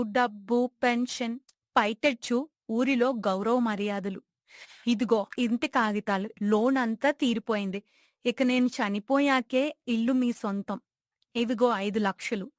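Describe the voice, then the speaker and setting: A woman's voice: a little high, a little slow, no echo, studio quality, lightly acted. A woman playing a grieving, dignified widowed mother who speaks solemnly and firmly to her grown children in a moral story. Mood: hurt, resigned. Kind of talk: dramatized moral story acting.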